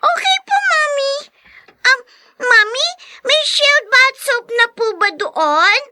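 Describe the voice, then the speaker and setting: A woman's voice: very high-pitched, expressive, takes it slowly, heavy background noise, heavily acted. A small polite girl. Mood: polite pleading. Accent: Filipino. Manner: polite, pleading. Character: polite seven-year-old girl, eager to be independent. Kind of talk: radio drama acting.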